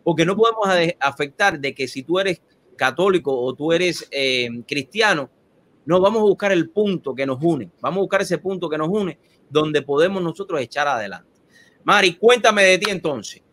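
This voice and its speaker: Animated male interview host, higher voice. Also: moderate pace. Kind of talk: interview conversation.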